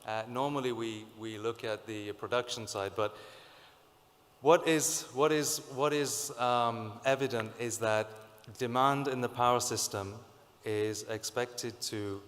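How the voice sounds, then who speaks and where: Croaky-voiced man in a reverberant hall. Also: mid-range voice, steady tone, fairly bright tone. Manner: halting, many fillers and restarts. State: sore throat, croaky. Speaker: conference speaker. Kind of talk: technical presentation. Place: conference hall.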